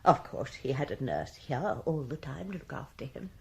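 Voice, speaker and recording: A woman's voice: extremely low pitch, sing-song, normal speed, creaky, a little mellow, heavily acted. An actress playing a guarded, proper woman in a radio drama, answering in a formal, emphatic, clipped manner. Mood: firm, guarded.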